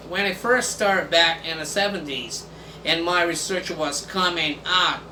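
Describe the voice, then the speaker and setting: A man whose first language is Portuguese, hosting a casual video and reading text aloud in English with many pauses and fillers. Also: high voice, sharp tone. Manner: halting, many fillers and restarts. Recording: echoey room from far off, faint background noise.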